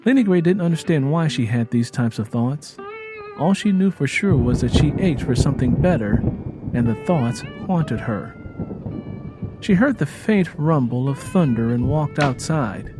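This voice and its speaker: A man's voice: on the high side, lively intonation, a little slow, music in the background, poor audio. A man reading dramatic scripted narration for an audio drama. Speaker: writer and narrator.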